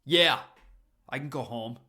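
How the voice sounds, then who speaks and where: A man's voice: mid-range voice, slow pace, bright, forceful tone, voice pushed up. Man acting out a belligerent teenage jock, voice pushed up. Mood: defensive. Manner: scornful, swearing, defiant. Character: belligerent, proud teenage jock, star quarterback. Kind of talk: acted story reading.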